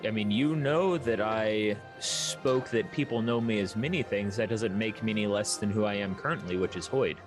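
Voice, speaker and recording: A man's voice: on the low side, moderate pace, hardly any pauses, music in the background, natural voice. A man playing a cagey, smug guide who gives evasive, cryptic answers in a tabletop game. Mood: dry, evasive. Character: cagey, smug male stranger guiding adventurers, evasive.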